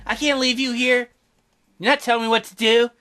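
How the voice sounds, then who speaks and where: A man's voice: extremely high pitch, fairly even intonation, a little fast, pushed and bright, voice pushed up. A man voicing a young woman archaeologist who talks back insistently and defiantly.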